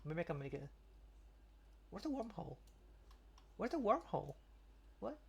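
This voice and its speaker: A man's voice: sits high, very animated, moderate pace, gravelly, slight background noise. A man casually chatting as he plays a game on a podcast, joking and rambling with repeated words. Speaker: podcast co-host and game player. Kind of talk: casual gaming chat while playing. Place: remote voice call.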